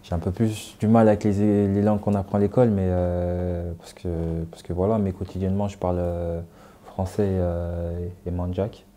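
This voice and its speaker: A French-born man speaking hesitantly and softly in an interview. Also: low voice, level tone, normal speed. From France.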